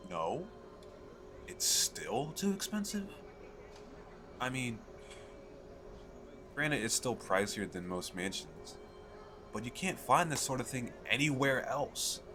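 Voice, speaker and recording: A man playing an eager, chatty fantasy merchant in an ASMR roleplay, pitching his magical wares to the listener in a coaxing, conversational way. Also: very animated, slow, pauses often, music in the background. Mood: coaxing, reluctant. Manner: coaxing salesman, conversational, hesitant asides.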